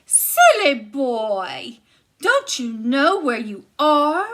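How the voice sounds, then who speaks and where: A woman voicing a bossy giant wife who scolds in a shrill voice. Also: very animated, very slow, a bit bright, full character voice. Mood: scolding.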